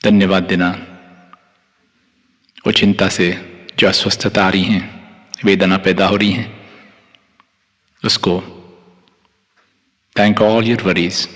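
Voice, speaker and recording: Indian male meditation guide, low voice, calm and soothing, very slow delivery with long pauses. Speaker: meditation teacher, Reiki master guiding yoga nidra. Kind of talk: guided meditation, instructing listeners. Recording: long hall-like reverb on the voice.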